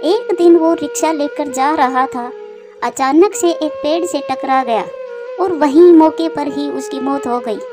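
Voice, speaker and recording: A woman's voice: high-pitched, a little slow. A woman reading scripted narration for a cartoon moral story. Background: clear background music.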